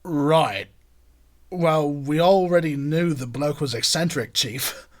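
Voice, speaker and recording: An actor playing a plain-spoken, sceptical British tavern keeper with a husky voice in a radio drama. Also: male voice, fairly high pitch, takes it slowly. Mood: sceptical, calm. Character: down-to-earth, unimpressed male tavern keeper and sidekick.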